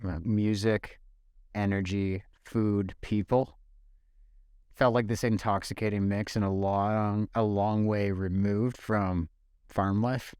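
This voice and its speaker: A man's voice: fairly low pitch, fairly even intonation, slow pace, darkish tone, smooth, dry recording, clean studio sound. A man from Ontario, Canada, in his forties, co-hosting a casual podcast with an upbeat, enthusiastic manner. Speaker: podcast co-host.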